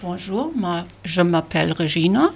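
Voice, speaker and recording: Woman, German accent, gravelly fry, slow and careful. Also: fairly low pitch, lively intonation. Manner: careful, halting learner French. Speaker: language learner.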